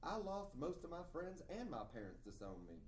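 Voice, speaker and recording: A man acting an affectionate, candid mentor who coaxes and advises in an expressive way. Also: on the high side, moderate pace, pitched above the performer's own voice. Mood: earnest. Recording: echoey room, distant mic, faint noise.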